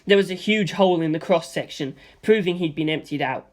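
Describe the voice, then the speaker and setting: Easygoing storyteller reading first person, chatty asides, mid pitch. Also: a little fast. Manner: casual, lively storytelling with asides. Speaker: narrator. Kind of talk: first-person audiobook narration.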